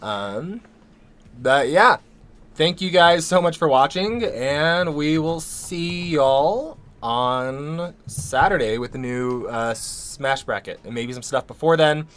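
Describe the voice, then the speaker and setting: A man co-hosting a casual livestream Q&A, hoarse from a cold and losing his voice, talking in a rambling way with many ums and restarts. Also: on the high side, lively intonation, slow, a bit bright. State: losing his voice, a little sick. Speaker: co-host of a livestream Q&A.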